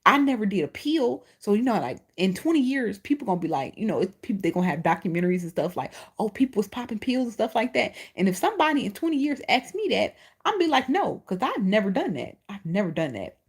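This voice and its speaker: A woman's voice: mid-range voice, quick pace, hardly any pauses, slightly rough. A woman with a Chicago accent and a lisp, chatting bluntly and profanely on a livestream as its host. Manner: blunt, profane and animated, answering chat. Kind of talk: casual livestream chat.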